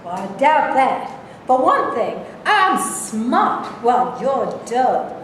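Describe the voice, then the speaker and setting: A cutting, sardonic woman played by an actress in a staged reading, high-pitched and swooping in tone. Her delivery is unhurried, and the hall around her echoes heavily. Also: sing-song. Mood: mocking. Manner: sarcastic, cutting. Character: sharp-tongued, sardonic woman who scorns foolish men. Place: indoor stage reading, live room. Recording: very echoey hall, faint background noise.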